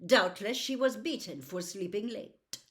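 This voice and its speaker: A woman's voice: medium pitch, a little slow, a bit bright, close to an everyday voice. A woman voicing a proud, cool young noble girl in a storybook, speaking in a formal, lofty way. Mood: cool, curt.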